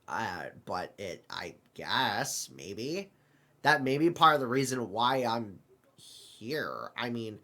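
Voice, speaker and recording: A man plays a flustered multiverse traveler in an improvised audio drama. He talks slowly in a mid-pitched voice, stammers, doubles back on words and thinks aloud, apologetic about being lost. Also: a bit bright. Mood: puzzled. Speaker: roleplay performer. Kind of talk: loose improvised audio roleplay.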